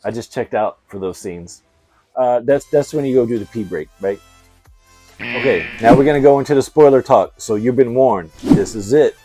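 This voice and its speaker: A man's voice: medium pitch, moderate pace, warm tone, soft background music. A middle-aged man hosting a casual movie review, talking in a slangy, effusive and emphatic fan's way. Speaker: video host, movie reviewer.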